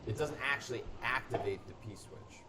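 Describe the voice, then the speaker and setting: A man's voice: medium pitch, moderate pace, sharp tone. A man explaining game strategy as he plays, thoughtful and hesitant, full of fillers. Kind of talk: analytical game commentary. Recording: roomy, distant mic with clear music.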